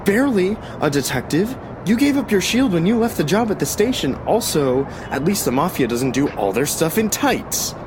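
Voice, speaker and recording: A man playing a suspicious, exasperated police officer in an audio drama, incredulous and scolding in a halting way. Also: high-pitched, sing-song, background noise. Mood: sarcastic, scolding.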